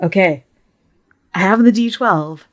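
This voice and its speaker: A woman's voice: mid-range voice, a little slow. A woman chatting casually as a player in a tabletop game, thinking aloud in a hesitant, giggly way. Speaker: player in a tabletop roleplay podcast.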